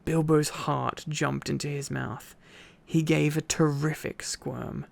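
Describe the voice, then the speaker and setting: A man's voice: fairly high pitch, steady tone, takes it slowly, creaky. A sleepy man doing an intimate ASMR boyfriend-style reading, tired and affectionate, with playful asides to his listener. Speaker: ASMR boyfriend roleplay storyteller.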